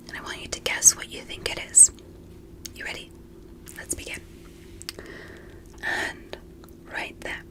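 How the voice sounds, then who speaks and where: A woman whispers her way through an ASMR roleplay as a curious, polite alien physician. Her delivery is breathy and unhurried, with careful pauses between short phrases. She stays gentle and reassuring, offering praise in slightly formal wording. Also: poor audio. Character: courteous, curious alien doctor examining a human. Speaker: ASMR roleplay performer playing an alien medical examiner. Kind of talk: soft-spoken ASMR medical roleplay.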